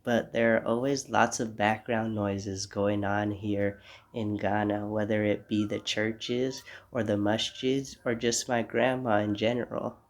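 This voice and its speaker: A young man with a stuffy, congested nose from a cold hosts his own podcast from home. His voice is gravelly and fairly flat in pitch. He rambles reflectively and breaks off now and then to apologize. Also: unhurried. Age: young adult. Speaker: podcast host. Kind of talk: casual solo podcast monologue. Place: home room.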